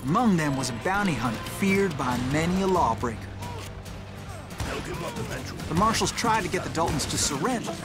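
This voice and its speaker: A man's voice: a little high, lively intonation, moderate pace, pauses often, lightly acted. An actor voicing an eager, hero-worshipping young man in a Western game, narrating earnestly and dramatically with an American accent. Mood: admiring, dramatic. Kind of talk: scripted in-character narration. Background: music.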